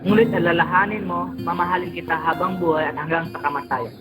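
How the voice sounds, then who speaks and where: A man's voice: a little high, fairly even intonation, quick pace, lightly acted. A man playing an ardent, courtly young warrior in an amateur radio drama. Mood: sorrowful devotion. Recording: music under the voice.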